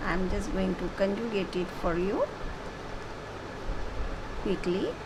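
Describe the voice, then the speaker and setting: A woman teaching a French lesson online, explaining slowly with many fillers and repeated phrases. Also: medium pitch, background noise. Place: home.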